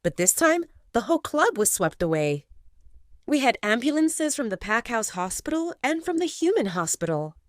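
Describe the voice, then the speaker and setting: A woman voicing a young adult sister who talks in a brisk, matter-of-fact way. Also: on the high side, sing-song, no room sound, studio quality, voice pushed up. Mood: exasperated.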